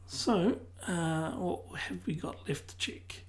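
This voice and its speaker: A tutor losing their voice who keeps clearing their throat, calmly explaining a software tutorial one step at a time. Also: medium pitch, takes it slowly. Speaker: web design tutor. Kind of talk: screen-recorded software tutorial.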